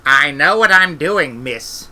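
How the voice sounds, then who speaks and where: Man voicing a snappish old witch granny, sharp and bright. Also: fairly high pitch, animated, full character voice, rough recording. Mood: irritated. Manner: brisk, dismissive, scolding.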